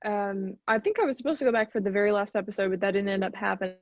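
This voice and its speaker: A woman from Arkansas talks in a relaxed, chatty way, and a light Arkansas lilt slips into some of her words. Her voice is recorded close and dry, with a slightly muffled, dull tone. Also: medium pitch, racing, dry recording. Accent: light Arkansas Southern. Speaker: actor, interview guest. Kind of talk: casual interview chat.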